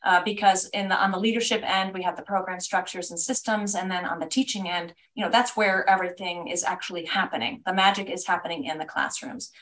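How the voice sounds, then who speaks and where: A woman's voice: fairly low pitch, steady tone, brisk, hardly any pauses, fairly bright tone, poor audio. An American woman, a guest on an interview podcast, talking enthusiastically about her work with language education. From United States.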